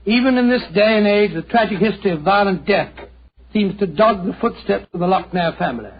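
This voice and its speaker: A man's voice: high-pitched, brisk. A man playing a genial old British retired doctor, telling stories in an avuncular, theatrical 1940s radio style. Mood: grave, dramatic. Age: older. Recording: noisy, muffled, crackly 1940s radio recording.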